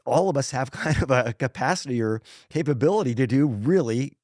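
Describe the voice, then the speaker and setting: A man's voice: mid-range voice, animated, fairly quick, darkish tone, dry recording, clean studio sound. A man in his sixties, a guest author in a casual podcast interview, talking thoughtfully and rambling with many restarts. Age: 60s.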